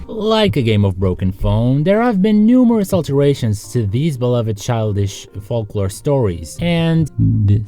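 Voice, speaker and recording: A man with a lively, swooping delivery narrates in a sarcastic, joking style. He slips often into casual asides to the viewer, as a host would. Soft music plays under his voice. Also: fairly low pitch. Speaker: host of a video series.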